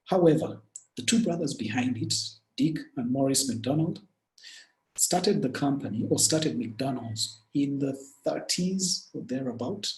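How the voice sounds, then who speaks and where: A man's voice: mid-range voice, lively intonation, measured pace, darkish tone. Ugandan man telling stories in an echoey room. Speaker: webinar speaker. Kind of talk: webinar talk.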